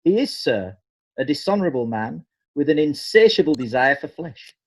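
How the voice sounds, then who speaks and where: Man voicing a courtroom lawyer, indignant, a bit husky, slightly raised pitch. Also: sing-song, normal speed. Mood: accusing. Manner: indignant, accusatory. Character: accusing medieval court lawyer, male.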